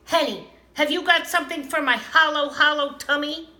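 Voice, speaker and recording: A hungry little storybook gnome man, acted out by a woman, demanding and loud. The voice is pushed high and bright, in a roomy space. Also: steady tone, normal speed. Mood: wheedling, hungry. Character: small hungry, greedy, demanding male gnome, the Tomten. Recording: roomy, echoing, some background noise.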